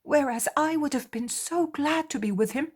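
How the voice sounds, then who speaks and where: A woman voicing John, a tender and devoted young male disciple who speaks earnestly and sighs softly. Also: very high voice, moderate pace, voice pushed up. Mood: worried. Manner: earnest and soft, sighing.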